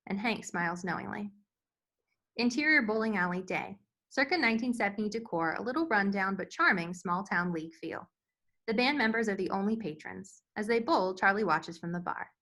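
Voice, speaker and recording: Bright, crisp-toned woman narrator. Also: mid-range voice. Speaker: narrator reading stage directions. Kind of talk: scripted narration, screenplay table read.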